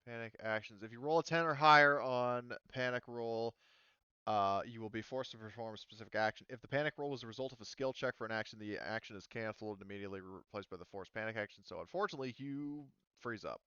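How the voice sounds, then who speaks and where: A man's voice: on the low side, pushed and bright, smooth, dry recording, highs cut off. A man running a tabletop game as game master, talking casually and thinking aloud as he checks the rules.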